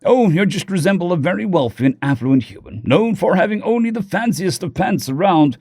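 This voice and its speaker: A man's voice: high-pitched, lively intonation, brisk, a little mellow, dry recording, studio quality, voice pushed up. A man voicing an easygoing, friendly human spaceship leader in a story, casual and good-natured.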